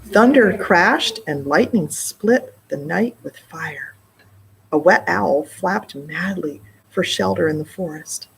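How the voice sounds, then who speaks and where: A middle-aged woman reading aloud to children in an expressive way, pausing for casual explanatory asides. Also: fairly low pitch, creaky, slight background noise, poor audio. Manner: expressive, explaining words and asides to young listeners. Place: indoor library children's room.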